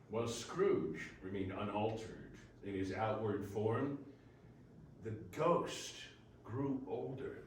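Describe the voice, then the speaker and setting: A man narrating a story for readers theater, dramatically, with deliberate pauses between phrases. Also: on the low side. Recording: very echoey room, distant mic, faint noise.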